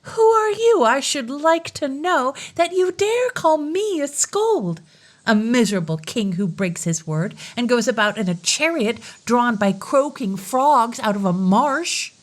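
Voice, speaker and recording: A woman reading a storybook, voicing a spiteful young princess in a shrill, drawn-out, indignant way. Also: big pitch swings. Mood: shrill outrage.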